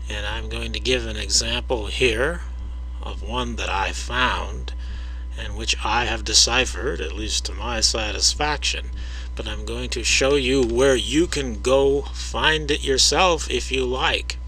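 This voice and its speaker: A man narrating his own video, explaining his audio analysis in a measured way, with frequent hesitations and direct words to the viewer. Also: medium pitch, sharp tone, slight background noise, poor audio.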